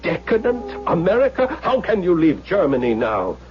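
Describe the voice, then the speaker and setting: Exuberant, high-voiced young Nazi officer. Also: male voice, huge pitch swings, normal speed. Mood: boastful, insistent. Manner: effusive, insistent, commanding. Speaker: actor in a radio drama. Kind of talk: 1970s radio drama acting. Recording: muffled old radio broadcast, faint music.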